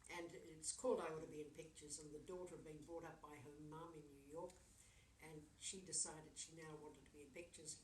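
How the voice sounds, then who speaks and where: A woman in her sixties telling anecdotes in an animated way, acting out bits of dialogue as she goes. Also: sits low. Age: 60s. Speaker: storyteller. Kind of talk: anecdotal storytelling. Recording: roomy echo, distant mic, faint noise.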